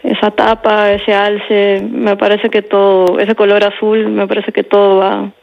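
Woman writer in her forties, even and reflective, with fry in the voice, heard on muffled radio audio. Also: medium pitch, unhurried. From Peru. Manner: reflective, often adds "¿no?" and repeats words. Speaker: writer, interview guest. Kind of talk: interview answers.

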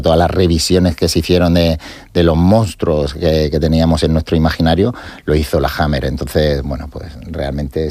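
A man talking about films on a radio show, pausing often with fillers. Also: rumbling low, mellow, no room sound, clean studio sound. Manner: hesitant, many fillers. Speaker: guest film expert. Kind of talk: casual radio talk.

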